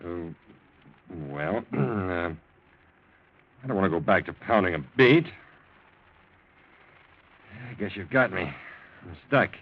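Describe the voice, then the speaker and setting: A man's voice: deep voice, expressive, slow pace, pauses often, full character voice. A man acting in an old radio drama as a wily, laconic police lieutenant who talks in a probing, hesitant way. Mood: hesitant, yielding. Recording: dull, lo-fi old radio recording.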